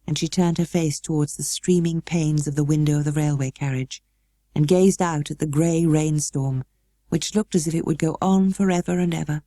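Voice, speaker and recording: A British woman reading a storybook as narrator, in a measured and expressive way. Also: deep voice, dry recording. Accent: British English. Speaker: audiobook narrator.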